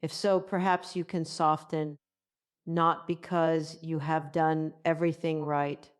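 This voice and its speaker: A woman giving a calm, guided self-compassion narration in a gentle, measured, soothing way. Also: deep voice, clean tone.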